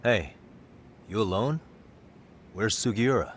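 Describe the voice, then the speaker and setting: An actor playing a cool, terse investigator in a video game, talking in a matter-of-fact way. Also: male voice, on the low side, slow pace, slight background noise. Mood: businesslike. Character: cool, businesslike male investigator on the hunt. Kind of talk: naturalistic video game cutscene acting.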